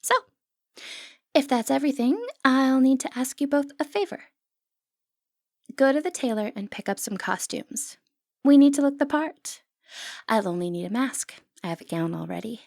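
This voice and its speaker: A sly female thief from a fantasy ASMR roleplay, acted by a woman. Her voice sits fairly high and is very dry, with no room around it. She talks with wry confidence and a flirtatious, teasing edge, like someone used to running the scheme. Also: expressive, moderate pace, creaky, pauses often, a little mellow, no echo. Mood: coy, businesslike.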